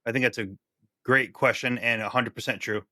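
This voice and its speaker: A man's voice: medium pitch, steady tone, brisk, bright, forceful tone, dry recording, studio quality. A man guesting on a podcast, a team leader explaining his approach in a direct, practical way. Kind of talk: casual discussion.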